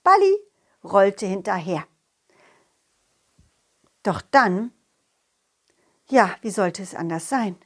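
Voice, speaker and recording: A woman's voice: mid-range voice, takes it slowly, long pauses, breathy edge, heavily acted. A woman voicing a cheerful, confident, loyal talking dog who tells his adventures playfully and expressively in a children's audio play. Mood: cheerful, narrating. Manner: playful, expressive, addressing a companion.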